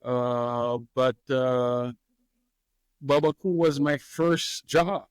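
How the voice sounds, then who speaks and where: A man, a master distiller answering interview questions in a relaxed, hesitant way with many fillers and easy laughs. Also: mid-range voice, extremely slow, smooth, dry recording. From Haiti.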